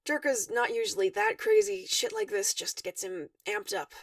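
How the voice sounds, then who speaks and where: Woman voicing a snappy, awkward teenage boy, lively pitch. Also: normal speed, creaky, no echo, studio quality, recorded from a distance, full character voice. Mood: awkward, apologetic. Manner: slangy, blunt, exasperated.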